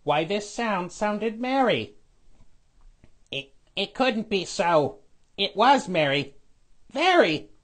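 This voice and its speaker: Man doing a raspy theatrical villain storyteller. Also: sits high, big pitch swings, takes it slowly, pauses often, pitched above the performer's own voice, full character voice. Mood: dramatic, emphatic. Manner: theatrical, dramatic, emphatic. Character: theatrical cartoon villain reading a children's storybook. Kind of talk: scripted storybook narration in a character voice.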